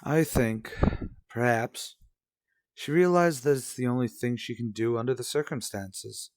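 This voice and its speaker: An actor playing a stern, guarded husband in a radio soap drama, speaking in a formal, measured, skeptical way. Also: male voice, medium pitch, darkish tone, no room sound, natural voice. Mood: coolly resigned. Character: stern, guarded husband and father in troubled marriage. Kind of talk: revived vintage radio soap drama acting.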